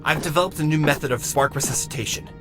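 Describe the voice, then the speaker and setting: A man's voice: a little high, quick pace, music in the background, lightly acted. A man voicing an earnest, eager robot medic in an audio drama, chatty and explanatory. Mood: eager, insistent.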